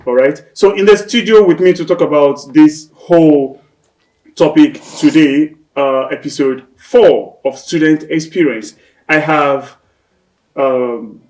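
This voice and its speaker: A man's voice: fairly high pitch, a little slow, darkish tone, low-quality recording. Conversational male studio host. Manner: rambling, loose and conversational. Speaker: talk show host. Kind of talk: casual studio interview.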